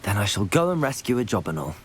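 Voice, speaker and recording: A man's voice: on the low side, very animated, quick pace, slightly rough, full character voice. An actor playing a polite, keen young male student wizard in a video game. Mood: calm, willing. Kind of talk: video game character dialogue.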